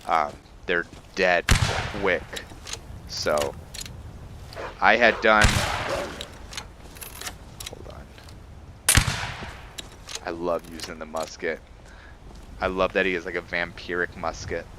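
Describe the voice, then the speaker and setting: A man giving casual commentary on a roleplay game, halting and musing as he thinks aloud. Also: low voice, fairly even intonation, noisy background. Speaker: host of a game roleplay series. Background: background music.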